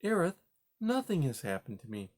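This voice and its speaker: A man voicing a gentle young female mouse who speaks softly and earnestly. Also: a little high, huge pitch swings, moderate pace, pitched above the performer's own voice. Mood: pleading. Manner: soft, pleading.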